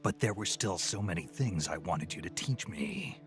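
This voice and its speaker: An actor playing a gruff, sardonic male soldier on a covert mission in a video game, with a gravelly voice. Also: low-pitched, expressive, normal speed. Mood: wounded, subdued. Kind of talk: dramatic video game voice acting. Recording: music behind.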